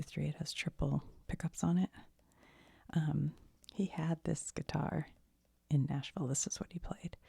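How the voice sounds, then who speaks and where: A woman speaks in a very breathy, husky near-whisper with heavy vocal fry. She rambles softly in an unscripted ASMR style, tentative and conversational, often letting thoughts trail off. The sound is close and dry. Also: low-pitched, no room sound. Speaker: ASMR video host.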